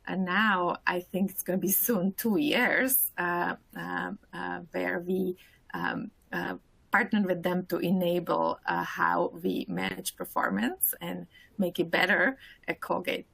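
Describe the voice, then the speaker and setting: A woman in her forties with a Slovak accent speaks as a panelist, halting often with fillers. Her voice sits a little low, husky and gravelly. The sound is dry and close. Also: fairly even intonation, fairly bright tone, dry recording. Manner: hesitant, many fillers. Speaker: panelist, corporate talent director. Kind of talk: panel introduction.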